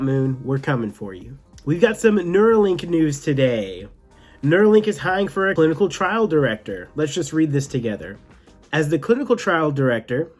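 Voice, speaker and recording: Man presenting tech news over music. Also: on the high side. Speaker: host of a tech news show. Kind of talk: news commentary, part scripted, part casual.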